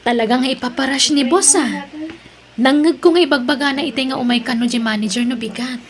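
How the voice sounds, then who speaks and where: Woman acting a very high-pitched, chatty co-worker. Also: brisk. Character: chatty, helpful female office co-worker. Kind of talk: Ilocano audio drama.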